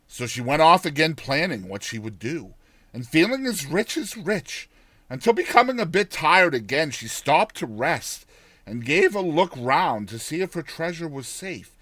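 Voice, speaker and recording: A man narrating a dramatized audiobook tale in an expressive, theatrical storytelling manner. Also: fairly high pitch, husky, no echo.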